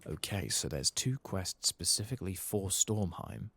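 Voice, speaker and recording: Male ASMR gaming host: bass register, fry-laden, soft and murmured, with lively intonation. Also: normal speed. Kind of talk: soft-spoken ASMR game playthrough with whispering.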